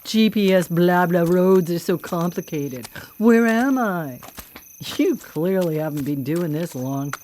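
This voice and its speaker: A vengeful female vigilante speaks in a lowish voice. She is cocky and mocking, with a cool menace, in the manner of live radio drama. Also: lively intonation, unhurried, mellow, a little hiss or hum. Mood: mocking, probing. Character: vengeful, taunting female vigilante killer who hunts predators.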